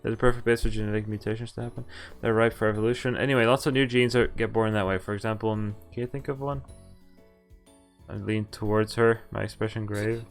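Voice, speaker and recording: A man's voice: lowish voice, steady tone, fast talker. A man streaming a game, reading its dialogue aloud and adding informal asides of his own. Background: game music.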